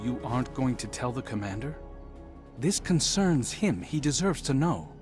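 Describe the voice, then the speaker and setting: An actor voicing a dry, wry young male scout in conversational game dialogue with soft music underneath. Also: medium pitch, sing-song, normal speed, natural voice.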